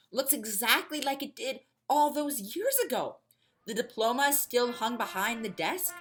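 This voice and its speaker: A woman's voice: high-pitched, poor audio. A woman reading scripted fiction as the narrator of a story podcast.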